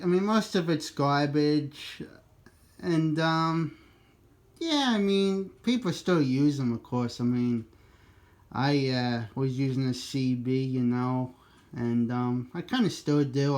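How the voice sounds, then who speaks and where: Man chatting casually, halting delivery. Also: medium pitch, a little mellow. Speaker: amateur radio operator. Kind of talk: casual chat over two-way radio. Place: two-way radio exchange over a repeater.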